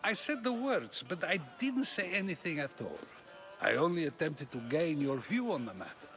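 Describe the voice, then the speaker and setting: Playful, probing Greek philosopher, lively delivery. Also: male voice, fairly high pitch, normal speed, faint music behind. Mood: teasing. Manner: teasing, argumentative, questioning. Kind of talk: dramatic video game dialogue.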